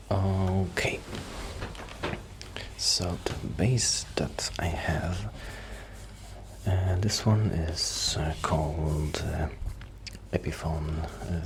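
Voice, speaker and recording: A man playing a shy, friendly young musician in a soft ASMR roleplay, rambling casually and hesitantly in a breathy, often whispered voice. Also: low voice, noisy background.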